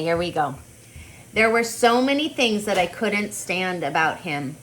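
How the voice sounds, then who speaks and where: A woman teaching on a video call, reading aloud and chatting with children in an expressive, encouraging way. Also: medium pitch, a little hiss or hum, low-quality recording. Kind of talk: online storybook read-aloud with casual chat.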